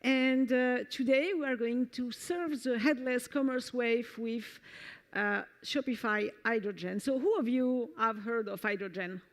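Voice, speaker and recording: A woman with a French accent presents a technical conference talk, walking the audience through a live code demo. She sounds enthusiastic and often restarts her sentences or fills pauses with "uh". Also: a little high. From France. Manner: enthusiastic, frequent fillers and restarts. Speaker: conference speaker. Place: conference stage before an audience.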